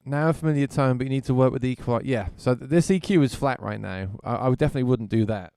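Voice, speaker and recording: A man's voice: mid-range voice, fast talker, dark tone, clean tone, dry recording. A man chatting casually on a livestream while demoing music gear, thinking aloud and often repeating words. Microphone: vocal mic through effects unit.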